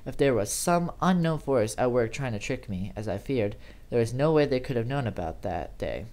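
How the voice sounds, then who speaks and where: Guy reading a creepy first person story out loud, kinda theatrical, normal mid pitched voice. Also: expressive, moderate pace. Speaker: amateur horror story reader. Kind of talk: dramatic first-person horror story narration.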